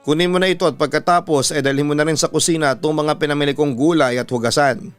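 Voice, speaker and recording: A man's voice: fairly high pitch, steady tone, quick pace, smooth, lightly acted. A man with a Filipino accent voicing a bossy, protective mother who nags her children in a dramatised story. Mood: instructing. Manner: nagging, bossy. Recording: music behind the voice.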